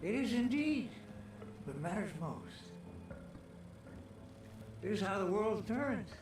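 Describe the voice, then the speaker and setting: An actor playing an aging crime boss in a video game, calm and soothing with quiet menace, speaking slowly and deliberately. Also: male voice, high-pitched. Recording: echoey, distant in-game audio under loud music.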